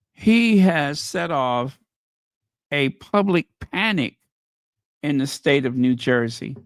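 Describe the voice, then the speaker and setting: A man's voice: fairly high pitch, dry recording, clean studio sound. A man hosting a casual livestream chat, losing his voice and making sarcastic, mocking asides. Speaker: livestream host.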